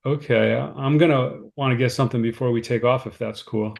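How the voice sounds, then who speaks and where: A man's voice: mid-range voice, steady tone, brisk, vocal fry, darkish tone, natural voice. A man acting the part of a harried, considerate cousin, earnest and slightly fretful, in a screenplay read-through. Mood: curious, concerned. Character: David, harried, anxious, considerate male cousin. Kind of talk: informal screenplay table read, naturalistic dialogue.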